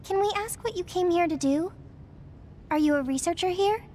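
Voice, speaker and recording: Girlish anime game heroine soldier, very high voice, sincere, resolute yet self-doubting. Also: normal speed, a little mellow, slight background noise. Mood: earnest. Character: earnest young woman soldier, determined but self-doubting.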